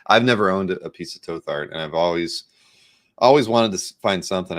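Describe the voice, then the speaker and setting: A man's voice: sits low, a bit bright. A man hosting a show in a casual, conversational interview style. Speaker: show host.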